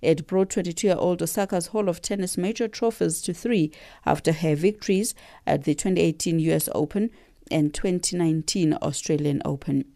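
Woman, radio presenter, upbeat delivery, low warm voice, dry close sound. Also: normal speed, creaky, dry recording. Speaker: radio host and newsreader. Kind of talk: news reading and radio interview.

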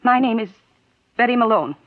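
A woman's voice: on the high side, lively intonation, normal speed, no room sound, narrow, tinny audio, lightly acted. An actress playing an earnest, anxious young woman with an intense manner, in a 1940s radio drama style. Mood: accusing, insistent. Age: young adult. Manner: intense, pleading, repeats phrases urgently. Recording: dry, band-limited 1940s radio recording.

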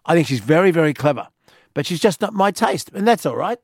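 A middle-aged man hosting a talk radio show, gruff and teasing. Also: a little high, big pitch swings, fast, dry recording, clean studio sound. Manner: gruff, teasing, self-mocking. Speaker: radio host.

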